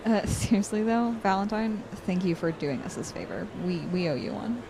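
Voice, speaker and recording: A woman's voice: medium pitch, animated, moderate pace, noisy background, lightly acted. A woman playing a studious, guarded college student with a dry, slightly hesitant delivery, in naturalistic audio drama. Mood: sincere. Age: young adult.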